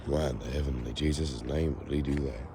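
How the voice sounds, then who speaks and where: A young man with a raspy, hoarse voice, rambling to the camera as he walks outdoors in a casual vlog. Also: very deep voice, steady tone, a little fast. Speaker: vlogger. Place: outdoors, walking on a foggy street. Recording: noisy outdoor recording with passing traffic.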